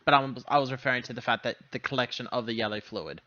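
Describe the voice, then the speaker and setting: A man's voice: mid-range voice, quick pace, sharp tone, no room sound, dull audio. A man chatting casually as a player in a tabletop roleplaying game.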